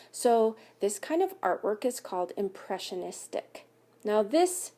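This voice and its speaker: A woman reading a picture book aloud to children like a school teacher, pausing to explain things and ask questions. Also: a little high, dark tone. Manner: instructive, asks listeners questions. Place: home basement by a fire.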